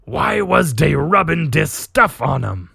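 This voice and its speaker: A man voicing a brutish, excitable orc warband captain in an acted fantasy story, bellowing in crude broken grammar. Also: a little high, takes it slowly, gravelly, slightly rough, dry recording, clean studio sound, voice pushed up, heavily acted. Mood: puzzled, suspicious.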